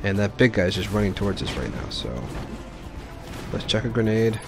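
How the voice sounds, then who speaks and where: A man's voice: lowish voice, lively intonation, normal speed, over music. A man giving casual, informal let's play commentary, explaining his choices as he plays. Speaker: gaming video host.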